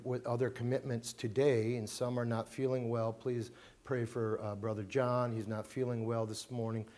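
A man's voice: medium pitch, a little mellow. A middle-aged man, a pastor giving an informal family talk to his congregation in a small church, chatty, with fillers, restarts and emphatic repeats. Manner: conversational, many fillers and restarts, emphatic repetitions. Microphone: handheld mic, far camera.

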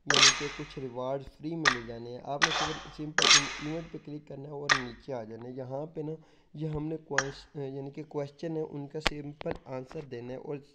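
Chatty man over loud noise. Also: mid-range voice, steady tone, moderate pace. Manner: chatty, repeats okay and simple steps. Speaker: gaming YouTuber. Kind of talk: casual game walkthrough.

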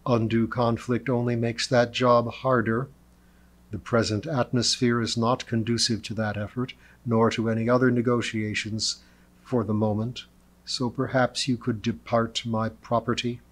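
A man voicing a calm, courteous male celestial peacemaker in a storybook, soft-spoken, measured and formal. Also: mid-range voice, lightly acted. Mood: calm, firm.

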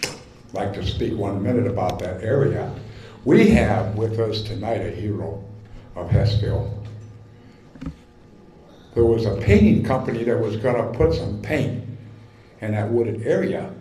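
A man's voice: on the low side, expressive, mellow. Older male resident, Midwestern American, folksy and rambling at a public hearing, echoey hall podium sound. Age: 60s or older. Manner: folksy, rambling, with halting pauses. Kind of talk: informal public comment speech. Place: large indoor school cafeteria-style hall. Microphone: microphone on podium, recorded in room.

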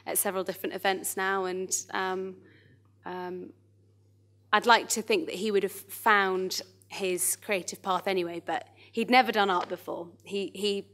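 Female panel speaker, mid-pitched, hesitant and thoughtful, informal delivery into a stage mic. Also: a bit bright. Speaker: panellist, arts access manager. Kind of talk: informal panel talk, telling an anecdote. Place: small theatre stage in front of an audience. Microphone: microphone on stage.

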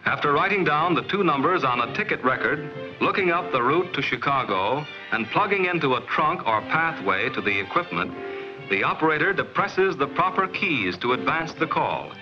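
1950s film narrator, dull vintage soundtrack. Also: male voice, on the high side, big pitch swings, music in the background. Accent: American. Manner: measured, explanatory, clearly enunciated.

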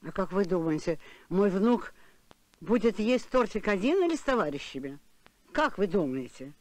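A woman's voice: mid-range voice, very animated, moderate pace, no room sound, close to an everyday voice. A woman voicing a fussy, insistent elderly grandmother in a Soviet cartoon, with an imperious manner. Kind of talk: Soviet animated film voice acting.

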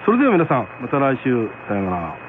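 A man's voice: mid-range voice, wildly swooping pitch, quick pace. A man hosting a radio programme, politely explaining things to his listeners. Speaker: radio host. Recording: tinny radio broadcast, faint noise.

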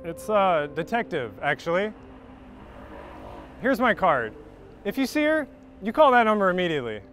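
Menacing, high-pitched fake cop. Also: male voice, big pitch swings, moderate pace, fairly bright tone, slight background noise. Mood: stern, official. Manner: clipped, commanding. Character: menacing impostor policeman, curt and bullying, middle-aged. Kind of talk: scripted short-film acting. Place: outdoors on street and station platform.

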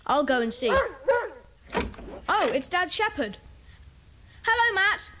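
A woman voicing a bossy, eager schoolboy club leader with an English accent, brisk and commanding, in a children's audio drama. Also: very high voice, very animated, full character voice. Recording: noisy cassette audiobook transfer.

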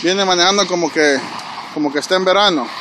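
A chatty man with a high, bright voice, talking to his viewers as he walks down a street. Recorded outdoors on a handheld phone, with faint traffic noise behind him. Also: fast talker. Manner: chatty, addresses viewers directly, frequent "¿verdad?". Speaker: vlogger walking and filming. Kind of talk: casual walking vlog narration. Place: snowy city street outdoors. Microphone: handheld phone camera.

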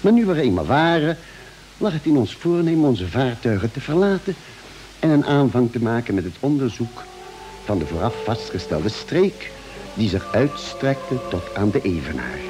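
An actor playing a calm doctor who narrates a space expedition, measured and solemn, in a vintage radio drama. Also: male voice, medium pitch, lightly acted.

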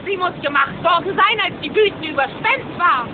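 Squeaky-high, eager young duckling. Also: female voice, animated, brisk. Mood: puzzled. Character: excited, curious young cartoon duckling child. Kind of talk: German cartoon audio drama acting. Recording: muffled, noisy old cassette recording.

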